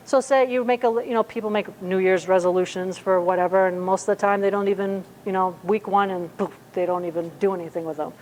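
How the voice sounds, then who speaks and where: A woman's voice: medium pitch, steady tone, quick pace, few pauses, a little mellow, slight background noise, low-quality recording. A woman in her fifties preaching a sermon in a conversational way, with many fillers and restarts. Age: 50s. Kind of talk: sermon at a church service.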